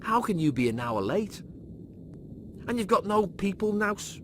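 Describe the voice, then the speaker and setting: A man's voice: high voice, very animated, unhurried. A man voicing an exasperated, sarcastic young slob in a sci-fi comedy audiobook. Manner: griping, slangy, combative. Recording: noisy background.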